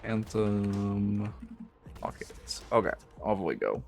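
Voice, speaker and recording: Gravelly low-voiced man streaming casually. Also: sing-song, takes it slowly, soft background music. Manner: thinks aloud, swears casually, low voice with high inflections. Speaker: game coach and streamer. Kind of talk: casual live-stream chat. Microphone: streaming microphone.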